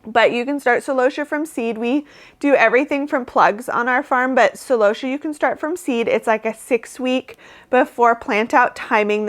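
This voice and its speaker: Woman in her thirties, flower-farm host, talks to camera in an easy, chatty way, slightly higher pitch, a bit stuffy from a cold. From Oklahoma, US. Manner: chatty, upbeat, lots of "like" fillers. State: sniffly with a head cold; heavily pregnant. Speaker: flower farmer and channel host. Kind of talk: casual talk to camera.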